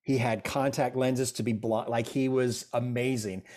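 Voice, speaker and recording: A man's voice: mid-range voice, steady tone, moderate pace, a little mellow. A middle-aged man, a guest in an interview, telling stories in a rambling, self-deprecating way with many restarts. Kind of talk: casual interview chat.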